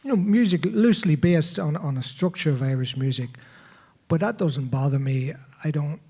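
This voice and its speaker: Modest, relaxed man piper. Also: on the high side, normal speed. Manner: relaxed, modest, stammering starts. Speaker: Irish piper, panel guest. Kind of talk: casual panel conversation.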